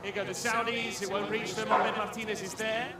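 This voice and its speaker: A high-pitched male commentator calls a match with dry wit and playful puns. He sounds set back from the mic in a very echoey arena, with a loud crowd roaring behind him. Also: little pitch movement, brisk, recorded from a distance. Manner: wry, punning banter between calls. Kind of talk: play-by-play football commentary.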